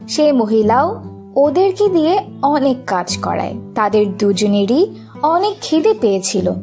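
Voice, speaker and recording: A woman narrates a children's fairy tale in a high voice with lively, swinging intonation. She reads the script at an unhurried, slow pace.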